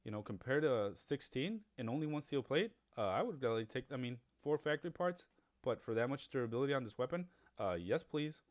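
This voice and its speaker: A man's voice: medium pitch, animated, fairly quick. A man whose first language is Spanish, talking casually over a mobile game, rambling with many fillers as he compares weapon upgrades. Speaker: gaming YouTuber.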